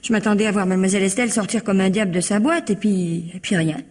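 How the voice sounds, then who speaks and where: A woman acts out a young working-class housemaid in a vintage radio mystery. Her voice is husky and slightly rough, at an ordinary female pitch. She talks in a colloquial, naively frank way, cheeky and quick to defend herself. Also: darkish tone, natural voice. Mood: gossipy storytelling. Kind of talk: old French radio mystery acting.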